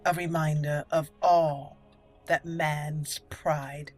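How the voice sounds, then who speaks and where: A woman hosting a roleplay game playthrough, speaking in a deliberate storyteller manner. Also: low voice, level tone. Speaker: gaming channel host. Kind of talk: roleplay game commentary and dramatic lore reading. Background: faint game music.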